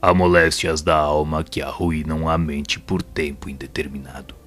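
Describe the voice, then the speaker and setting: Man playing a very deep, solemn spirit mentor. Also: flat delivery, a bit bright, faint music behind. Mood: solemn, persuasive. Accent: Brazilian. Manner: solemn, measured preaching. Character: wise, patient male spirit mentor, compassionate and persuasive. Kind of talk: audio drama acting.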